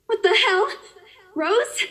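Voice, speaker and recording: Very high-pitched anxious young woman. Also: lively intonation, slow pace, fairly bright tone, heavily acted. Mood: scared annoyance. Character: anxious, irritable young woman in a horror game. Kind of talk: video game voice acting.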